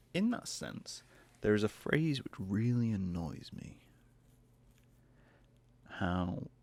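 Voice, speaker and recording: Croaky Northern English man, low and gravelly. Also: a little mellow. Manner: rambling, self-deprecating, with frequent restarts. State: croaky, hoarse voice. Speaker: podcast host and artist. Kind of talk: casual solo podcast monologue. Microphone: desk microphone close to face.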